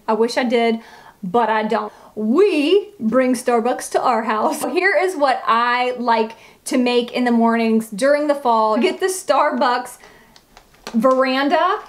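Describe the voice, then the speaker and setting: A woman chatting casually as a beauty vlogger, gushing and emphatic, with a slightly froggy voice. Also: on the high side. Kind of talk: casual chatty product review to camera.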